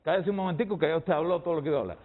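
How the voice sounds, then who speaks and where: A man's voice: a little high, rapid-fire, narrow, tinny audio. A middle-aged man from rural Cuba with a Cuban accent, giving emphatic personal testimony as a guest in a heated debate, repeating phrases for weight.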